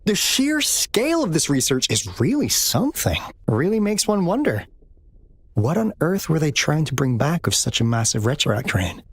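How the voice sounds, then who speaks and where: Lively, wry game adventurer. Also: male voice, sits high, breathy edge, full character voice. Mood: amazed, intrigued. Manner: theatrical, expository, bemused. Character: wry, curious adventurer man marvelling at discoveries. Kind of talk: video game voice acting.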